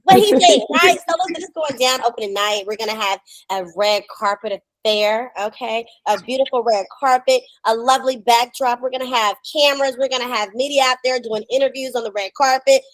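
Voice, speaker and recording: High-voiced, enthusiastic American woman hosting. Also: a little fast, few pauses, no echo, poor audio. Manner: enthusiastic, slangy, emphatic. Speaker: podcast host. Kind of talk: casual promotional chat. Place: indoors, on a video call. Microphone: video call microphone.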